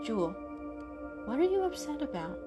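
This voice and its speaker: A woman voicing a calm, sensible male cat, matter-of-fact and gently teasing, in a storybook reading. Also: high voice, normal speed, over loud music, voice pushed up.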